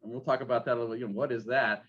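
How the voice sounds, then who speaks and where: A man's voice: mid-range voice, steady tone, breathy edge. Man host on a dull lo-fi line. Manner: halting, many restarts and fillers. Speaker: podcast host. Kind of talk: casual interview. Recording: dull lo-fi sound.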